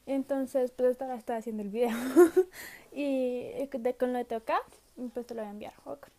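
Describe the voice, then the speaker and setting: A woman's voice: high voice, moderate pace, mellow. A seventeen-year-old girl who is unwell, answering study questions in a casual video message, often hesitating, trailing off and laughing. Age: teens. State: sick.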